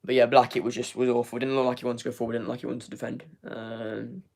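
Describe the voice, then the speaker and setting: A man's voice: mid-range voice, little pitch movement, fast, gravelly, darkish tone. A young man hosting a live football fan review, losing his voice, rambling with repeated words and many fillers. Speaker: fan channel host. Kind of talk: live match review, reading chat.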